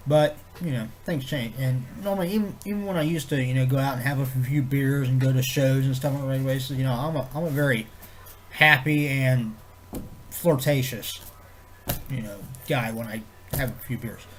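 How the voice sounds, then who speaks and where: A man with a stuffed-up head cold talks on, his voice nasal and congested. His pitch sits mid-range for a man. He rambles in a low-key, easygoing way and swears casually. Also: moderate pace, slight background noise. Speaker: vlogger. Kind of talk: rambling casual chat to camera.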